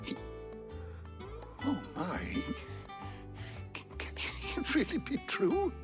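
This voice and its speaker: A man's voice: very high-pitched, big pitch swings, drawn-out words, music in the background, heavily acted. An actor playing a bookish, kindly elderly male scholar in a video game, emotional and wordy, with florid, theatrical phrasing. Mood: astonished.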